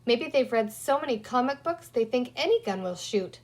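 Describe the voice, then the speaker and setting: A woman's voice: a little high, animated, normal speed, a little mellow. A woman voicing a bossy, resourceful young girl in a children's story, talking emphatically as she reasons aloud. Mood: decisive. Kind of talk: children's storybook character reading.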